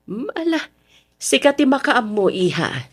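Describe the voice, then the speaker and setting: A woman playing a fond, chatty middle-aged mother in a Filipino radio drama, expressive and drawn out. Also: a little high, a little slow, slightly rough, full character voice. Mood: fussing, welcoming.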